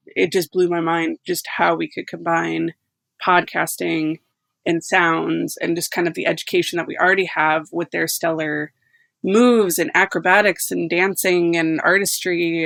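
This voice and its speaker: Creaky-voiced woman in casual podcast chat. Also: on the low side, fairly bright tone, no echo. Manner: informal, peppered with "like". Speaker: podcast team member.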